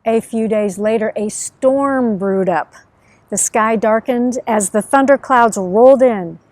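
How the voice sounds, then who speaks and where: A middle-aged woman reads aloud as a children's storyteller. Her voice sits a little high. She is recorded outdoors, with a dry sound free of echo. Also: unhurried, a little mellow. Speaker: host, storyteller and author. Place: outdoors under a tree by a field.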